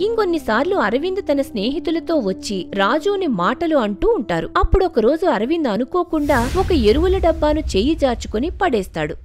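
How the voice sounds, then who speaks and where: A woman reads a moral tale as its narrator. Her voice is high, and her intonation rises and falls in lively swings. Soft music sits behind it. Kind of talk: scripted moral story narration. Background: background music.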